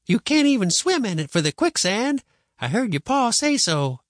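A man's voice: high-pitched, very animated, normal speed, no echo, studio quality, voice pushed up. A man voicing a cranky, stubborn old frontier grandmother who grumbles and scolds in folksy rural speech for a storybook. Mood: disgusted, stubborn. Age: older.

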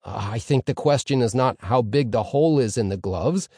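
A man voicing a pompous older rabbi teacher in an audiobook story, declaiming with dramatic pauses and rising questions. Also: mid-range voice, dark tone, no echo, studio quality, close to an everyday voice. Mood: pondering.

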